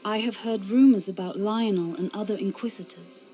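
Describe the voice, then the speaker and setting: Actress doing earnest fantasy warrior woman, medium pitch, music in the recording. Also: normal speed, warm tone, natural voice. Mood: troubled. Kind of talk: scripted video game cutscene acting.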